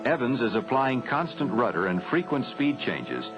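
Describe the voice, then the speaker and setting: A man reading scripted training film narration in a formal, measured, matter-of-fact way. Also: medium pitch, very animated, over music. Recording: dull 1970s film soundtrack.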